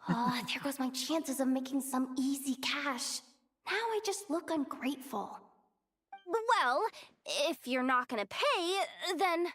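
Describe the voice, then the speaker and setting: High-voiced cocky bounty hunter. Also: female voice, lively intonation, a little slow, fairly bright tone, no echo. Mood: flustered. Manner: boastful, teasing, theatrical. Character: cocky, scheming young female bounty hunter. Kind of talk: video game voice acting.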